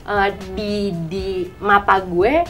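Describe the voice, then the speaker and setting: A woman's voice: mid-range voice, fairly even intonation, takes it slowly, fairly bright tone, soft background music. A woman with a Jakarta Indonesian accent talking emphatically and in slang as a panelist in a casual group discussion. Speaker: talk show panelist.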